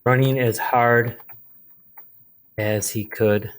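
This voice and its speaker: A man gives instruction as a teacher would, in a clean, resonant voice at middling pitch. He takes his time, laying things out step by step. He pauses often and repeats phrases as he models the task aloud. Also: steady tone. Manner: step-by-step explaining, pausing and repeating himself.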